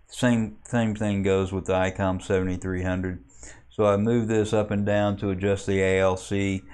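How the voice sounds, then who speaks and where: A man with a low, very even voice walks through software on screen. His tone is clean and smooth, and he explains each step plainly, stopping and starting as he goes. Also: normal speed. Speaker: amateur radio hobbyist giving a software tutorial. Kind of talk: unscripted screen walkthrough.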